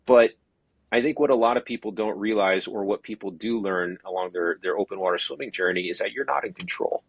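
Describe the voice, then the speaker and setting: A man with a lowish, husky voice talks through his experience in a relaxed, unhurried way. His thoughts wander and he restarts sentences as he goes. The sound is dry and close, with no room around it. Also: dry recording, lo-fi sound. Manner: thoughtful, rambling with frequent restarts. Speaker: podcast guest, open water swim organizer. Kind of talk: casual interview chat.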